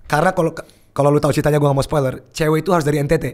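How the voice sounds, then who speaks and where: Young guy rattling off a story real fast, mixes english bits in, slightly high voice. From Indonesia. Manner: animated storytelling, mixing in English phrases. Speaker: guest. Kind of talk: casual podcast chat.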